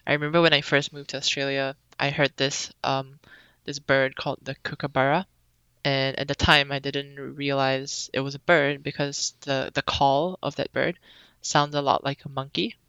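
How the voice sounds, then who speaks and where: Gravelly, bright-voiced young woman guest. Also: a bass voice, moderate pace, no echo, muffled recording. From Singapore. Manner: relaxed, chatty, many ums. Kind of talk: casual interview chat. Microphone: remote recording.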